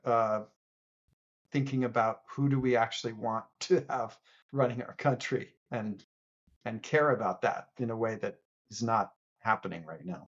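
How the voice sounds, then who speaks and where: A man appearing as a podcast guest, speaking earnestly and haltingly, often restarting in a casual political debate. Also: mid-range voice, dull audio.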